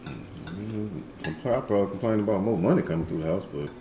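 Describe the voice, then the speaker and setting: Laid-back deep-voiced man in his thirties. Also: roomy sound, background noise. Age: 30s. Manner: laid-back, informal, a bit halting. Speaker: channel co-host. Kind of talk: casual chat.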